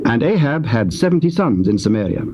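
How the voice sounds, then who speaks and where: A man's voice: mid-range voice, very animated, fairly quick. British male scripture narrator, noisy tape. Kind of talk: dramatised scripture narration, solemn storytelling. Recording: noisy old cassette tape recording.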